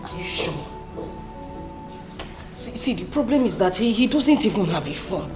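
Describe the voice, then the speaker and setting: A woman's voice: medium pitch, very animated, normal speed, reverberant, over music, lightly acted. An actress with a Nigerian accent playing a worried young woman who stammers and pleads while waiting for her brother. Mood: anxious.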